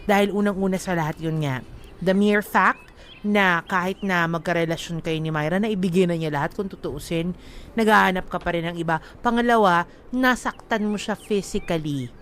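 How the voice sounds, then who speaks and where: A woman's voice: fairly low pitch, moderate pace, fairly bright tone, a little hiss or hum. A woman with a Filipino accent co-hosting a radio show, reading letters and giving advice in an emphatic way. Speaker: radio co-host. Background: background music.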